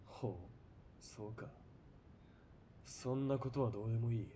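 An actor playing an arrogant, high-strung young army lieutenant in a radio drama, with haughty, barking military speech. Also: male voice, steady tone, normal speed, mellow, slight background noise, close to an everyday voice. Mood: haughty, curt. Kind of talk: Japanese radio drama acting.

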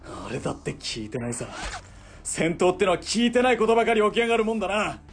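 A man's voice: lively intonation, quick pace, faint music behind. A man playing a cool, commanding military spotter in a radio drama, clipped and composed. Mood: alert. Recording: faint rumbling background noise.

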